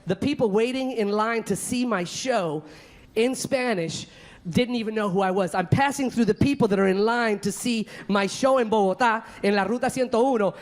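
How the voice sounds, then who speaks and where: A man from Colombia doing a stand-up set on a comedy club stage, loud and exuberant as he works the crowd. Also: high-pitched, moderate pace.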